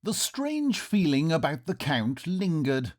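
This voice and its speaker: A suspicious Victorian nobleman in a comic radio play. His delivery is formal and melodramatic, with a highly animated pitch. He sounds like an uneasy guest telling his own tale. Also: takes it slowly, exaggerated voice. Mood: brooding narration. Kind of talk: old-time radio theatre comedy acting.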